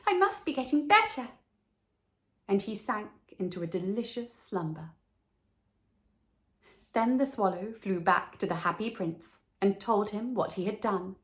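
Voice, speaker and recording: A woman reading a children's story as narrator, measured and expressive. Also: mid-range voice.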